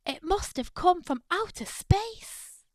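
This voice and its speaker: A woman's voice: very high-pitched, lively intonation, slow pace, no room sound, pitched above the performer's own voice, heavily acted. A woman voicing an overworked male zookeeper in a storybook, whispering to himself in wonder. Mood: hushed wonder. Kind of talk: storybook character voice.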